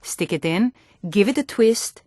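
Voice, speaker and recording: An actress playing a sturdy, earnest Nord woman in a fantasy video game, with clear, deliberate delivery. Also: mid-range voice, animated, dark tone, no echo, studio quality.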